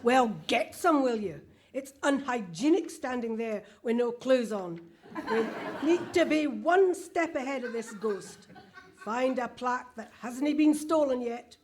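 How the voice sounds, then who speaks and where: A woman's voice: a little high, lively intonation, a little slow, crowd noise. A woman playing a bossy, exasperated Scottish police superintendent in a comic radio play before a live audience.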